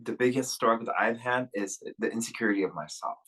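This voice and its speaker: A young man, talking as a guest on a video call in a candid interview, rambling and halting with many fillers and restarts. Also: mid-range voice, fairly bright tone, breathy edge, poor audio. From Russia. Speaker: podcast guest.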